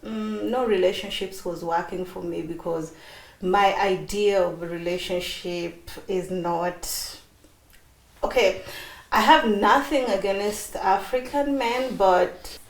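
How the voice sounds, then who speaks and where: A woman's voice: mid-range voice, steady tone, slow, roomy sound, low-quality recording. Bubbly Kenyan woman in her thirties. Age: 30s. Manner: bubbly, chatty, with many fillers and laughs. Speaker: interview guest. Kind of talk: personal storytelling in an interview.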